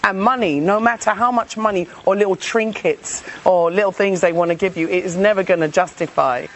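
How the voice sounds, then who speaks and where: A woman's voice: medium pitch, brisk, slight background noise. A woman from England, interviewed in casual chat, speaking emphatically, repeating her points and laughing readily.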